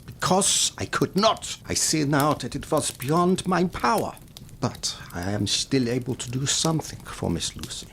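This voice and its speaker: An actor playing a learned, grave Dutch professor in an audio horror drama, speaking deliberately and cryptically in unidiomatic English. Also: male voice, mid-range voice, lively intonation, gravelly, fairly bright tone, a little hiss or hum. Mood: urgent. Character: learned, grave foreign professor who hunts vampires.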